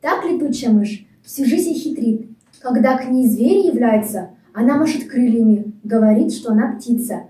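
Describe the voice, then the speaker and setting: A woman's voice: a little high, moderate pace, warm tone. A woman narrating a children's fairy tale from a script. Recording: echoey, slightly distant microphone.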